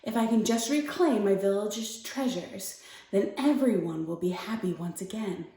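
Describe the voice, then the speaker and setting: A woman's voice: mid-range voice, lively intonation, moderate pace, mellow, voice pushed down, lightly acted. A woman voicing a brave, earnest young boy hero in a children's storybook reading. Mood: hopeful. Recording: echoey room, distant mic, music.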